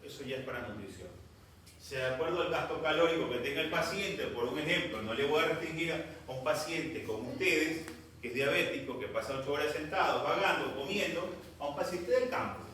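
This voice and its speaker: A man's voice: fairly high pitch, brisk, a bit bright, slight background noise. A man giving a medical lecture to students, stern and scolding at times. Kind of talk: classroom lecture. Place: classroom. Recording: echoey classroom from far off.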